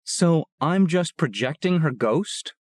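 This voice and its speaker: A man's voice: on the high side, animated, slow pace, no room sound, studio quality, voice pushed up. A man voicing a troubled teenage girl bike messenger who talks in an earnest, pleading way.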